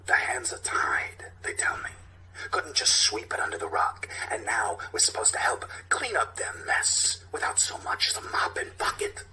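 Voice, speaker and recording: Halting, theatrical, volatile police captain. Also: male voice, on the low side, big pitch swings, in a whisper, full character voice, poor audio. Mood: hushed menace. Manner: halting theatrical monologue swinging between hushed and shouting.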